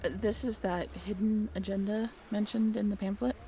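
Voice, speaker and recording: A woman's voice: mid-range voice, steady tone, moderate pace, mellow, slight background noise, natural voice. A woman playing a friendly, easygoing ship's crew member, chatting informally in a roleplay audio drama. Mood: friendly, amused.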